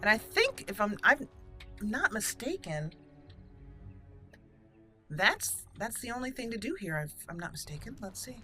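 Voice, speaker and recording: Female let's play host, chatty and informal, forward bright tone, thinks out loud while playing. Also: medium pitch, big pitch swings, unhurried, long pauses, soft background music. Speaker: gaming channel host. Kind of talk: casual let's play commentary.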